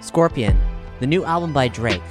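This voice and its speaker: A man's voice: mid-range voice, expressive, moderate pace. A man reading a scripted audio review as narrator. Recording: loud music bed under voice.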